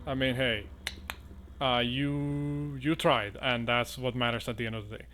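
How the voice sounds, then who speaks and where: A man's voice: medium pitch, steady tone, measured pace, crowd noise. A man running a tabletop game as the dungeon master, full of fillers and laughing often. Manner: laughing, hesitant, many fillers.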